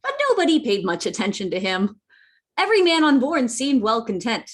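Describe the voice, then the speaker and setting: Boy adventurer narrator, played by a woman: slightly high, animated, earnest storytelling for radio drama. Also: fairly quick. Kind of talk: scripted narration, radio play reading.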